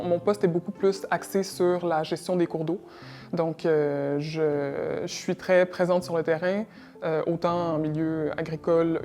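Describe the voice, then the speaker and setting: A woman's voice: deep voice, warm tone. A young woman from Russia, answering interview questions about her work in a hesitant way, with many fillers and repeated words. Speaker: interview guest, environmental technician.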